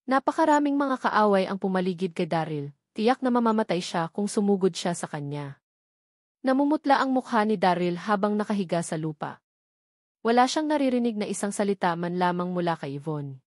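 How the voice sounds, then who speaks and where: Woman, audiobook narrator, scripted novel reading, mid-pitched voice, dry close recording. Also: expressive, brisk, dry recording.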